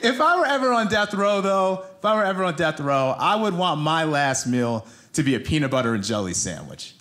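Male stand-up comedian, 30s, American, high voice with very lively intonation, conversational, on a live stage mic. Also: brisk. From New Jersey, United States. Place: theater stage in front of an audience. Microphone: handheld microphone.

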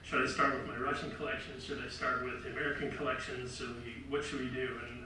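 A middle-aged man with a loud, bright voice presents to a roomful of people, rambling and restarting his sentences. He is heard from far off in a very echoey hall, with faint noise behind him. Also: medium pitch, flat delivery, fast talker. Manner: rambling, self-correcting, light laughs. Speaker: lecture presenter. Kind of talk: casual public talk with slides. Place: large room with seated audience. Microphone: far camera.

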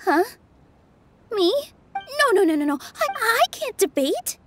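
A woman voicing a gentle, earnest young dancer in an anime game, with a soft girlish voice and a sincere, hesitant manner. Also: very high-pitched, big pitch swings, slow, slight background noise, heavily acted.

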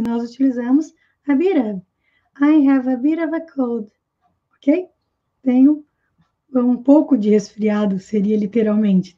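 A woman with a high, light voice teaches an English lesson from a small room at home. She says each example phrase slowly, more than once, and then explains it. The audio is dull and lo-fi, like a basic webcam mic. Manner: slow, careful repetition of example phrases. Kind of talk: online language lesson.

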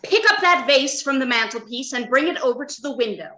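Earnest, troubled doctor, played by a woman: high, sharp, deliberate radio-drama delivery. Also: expressive. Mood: commanding. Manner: emphatic, deliberate, with dramatic hesitations. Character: earnest, uneasy robot scientist, a doctor. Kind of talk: old-time radio drama acting. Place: home, remote video call.

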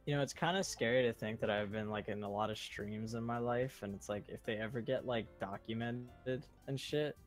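A man's voice: mid-range voice, a little fast, few pauses, music in the background. A man in casual, joking stream banter, sarcastic and swearing freely, as a guest reading along. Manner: sarcastic, profane.

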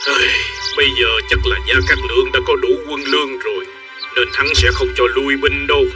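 A man's voice: mid-range voice, steady tone, fairly quick, close to an everyday voice. Man acting stern middle-aged general, commanding, declamatory, historical cartoon dub, slightly distant mic, strong background music. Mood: grim. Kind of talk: dubbed animated historical drama acting.

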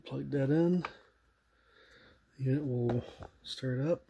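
A man giving an informal hands-on tutorial in a halting way, trailing off mid-phrase. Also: medium pitch, gravelly, mellow. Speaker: radio hobbyist demonstrator. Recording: noisy, with radio static. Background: shortwave radio hiss.

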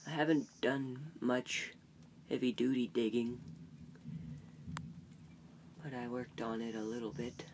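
A man's voice: medium pitch, level tone, slow pace, pauses often. A young man from Canada giving a casual, folksy, rambling handheld video tour outdoors on a farm. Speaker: vlogger and hobby farmer. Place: outdoors on rural farmland in rain. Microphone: handheld phone or camera mic. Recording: loud outdoor noise, handheld camera.

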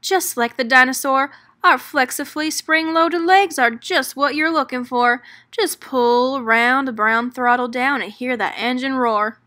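Loud whooping bug salesman, bright and brassy, read by a woman. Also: sits high, a little slow, dry recording, studio quality. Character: rowdy male hopper car salesman.

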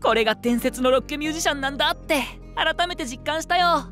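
An actor playing a gentle, easygoing, boyish young male band singer in an anime voice drama, with soft, casual phrasing. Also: high-pitched, fast, faint music behind.

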